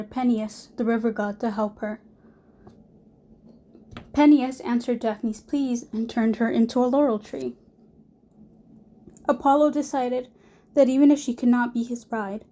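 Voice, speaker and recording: A young woman, hoarse from a cold, gives a class presentation in a mellow, fairly high voice. She reads from prepared notes and restarts a word now and then. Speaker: student presenter.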